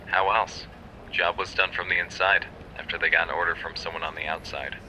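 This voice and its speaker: Blunt grim cop in an audio drama, really bright hard voice, clipped, faint hum behind. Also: male voice, low-pitched, little pitch movement, a little fast, rough recording. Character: blunt, grim male police colleague, practical and persistent.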